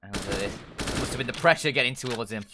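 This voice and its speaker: A man casting an esports match live, play-by-play, rising to shouts at big plays. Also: medium pitch, fast talker, noisy background.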